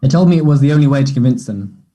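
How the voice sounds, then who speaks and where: Anxious young man, husky and soft-toned an actor reading. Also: mid-range voice, lively intonation, lightly acted. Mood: distressed, ashamed. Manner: hesitant, halting delivery. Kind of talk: play table read.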